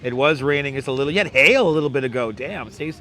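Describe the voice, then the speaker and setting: A hoarse man hosting a casual outdoor livestream, welcoming viewers by name one after another in a crackly, squeaky voice. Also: lively intonation, fast talker, sharp tone. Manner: reels off viewer names with repeated welcomes. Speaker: livestream host. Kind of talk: casual live chat greeting viewers. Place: outdoors on a beach boardwalk, city street sounds. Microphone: wireless clip-on mic. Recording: outdoor phone livestream with street noise. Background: faint traffic and city ambience.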